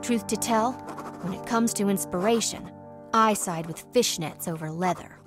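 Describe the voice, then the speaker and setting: A young woman playing a wry, determined aspiring crime fighter in an animated comic. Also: mid-range voice, normal speed, music in the background, lightly acted. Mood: wry, resolute. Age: young adult. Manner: wry, self-assured. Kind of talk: animated motion comic narration and dialogue.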